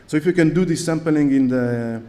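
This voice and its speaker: A man's voice: fairly high pitch, normal speed, mellow. Israeli guy giving a conference talk, lots of ums and restarts, echoey hall. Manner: hesitant, many fillers and restarts. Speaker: conference speaker. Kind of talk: conference talk with audience questions. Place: large conference hall on stage. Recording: long hall reverb.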